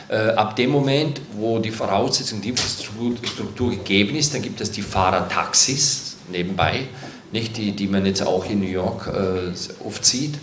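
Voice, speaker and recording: A man's voice: lowish voice, fairly bright tone, slight background noise. A man on a panel speaking in a rambling way, with many fillers and tag questions. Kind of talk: panel discussion. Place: hall at a public event. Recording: roomy hall.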